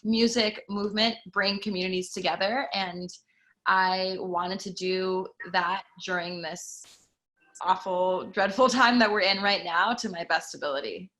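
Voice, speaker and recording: A woman's voice: medium pitch, steady tone, unhurried, fairly bright tone. A woman in her thirties from Costa Rica, a fitness instructor talking earnestly about her work in a casual panel chat. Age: 30s. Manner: earnest, reflective, frequent fillers.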